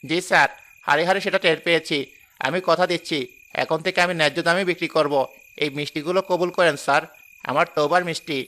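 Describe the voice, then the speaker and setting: A man playing a fast-talking greedy shopkeeper. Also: fairly high pitch, fairly even intonation, sharp tone. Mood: remorseful. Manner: quick and pleading. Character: greedy village lemon seller, later remorseful. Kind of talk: cartoon voice acting.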